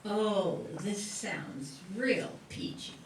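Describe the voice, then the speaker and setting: A woman's voice: mid-range voice, lively intonation, extremely slow. A woman playing a whiny, sulky teenage daughter in an old-time radio comedy. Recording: roomy, distant mic, faint noise.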